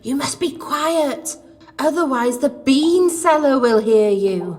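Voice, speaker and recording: A woman's voice: sits high, animated, slow, a little mellow. A woman playing a plaintive, indignant talking goose held captive in an audio pantomime, with theatrical delivery. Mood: indignant, bossy. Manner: theatrical, exclamatory. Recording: long echoing dungeon reverb.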